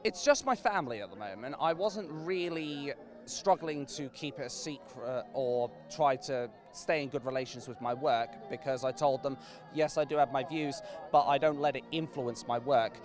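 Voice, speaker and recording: A man from Norwich answering street interview questions earnestly, pausing to search for words. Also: mid-range voice, soft background music. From Norwich, England. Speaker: interviewee, rally supporter.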